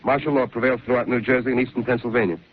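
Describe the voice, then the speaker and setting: A man's voice: medium pitch, fairly even intonation, close to an everyday voice. An urgent radio announcer, played by a man, races through grave bulletins. The voice is thin and tinny, like an old 1930s broadcast with faint hiss underneath. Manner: rapid, urgent news delivery. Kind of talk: 1930s live radio drama.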